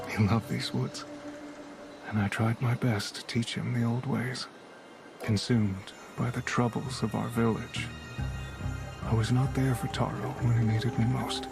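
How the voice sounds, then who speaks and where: An actor playing a wise older village elder who mentors a young spirit guide, speaking in calm, measured game dialogue over loud music. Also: male voice, medium pitch, gravelly. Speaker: mentor character.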